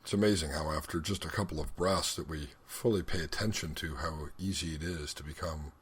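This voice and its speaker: Man, very deep voice, husky texture, guided meditation instruction, measured phrasing with deliberate pauses.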